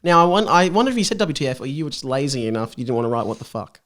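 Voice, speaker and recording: A man's voice: on the high side, big pitch swings, racing, fairly bright tone. A man chatting casually and comedically as a podcast guest, riffing playfully and reading passages aloud.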